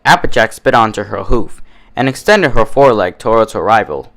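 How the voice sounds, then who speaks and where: A man's voice: lowish voice, expressive, a little fast, creaky, a bit bright. A man reading scripted story narration as a fan-fiction reader.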